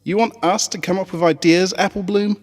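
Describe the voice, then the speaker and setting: Man doing a brash young tomboy filly, raised pitch. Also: steady tone, a little fast, long reverb tail. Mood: teasing. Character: brash young tomboy filly, teasing, daring pony child.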